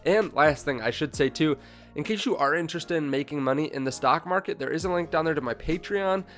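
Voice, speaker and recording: A man's voice: on the high side, brisk, fairly bright tone, faint music behind. A congested young man in his twenties hosting a finance channel, talking casually to camera with many fillers and restarts. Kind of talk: casual direct-to-camera talk.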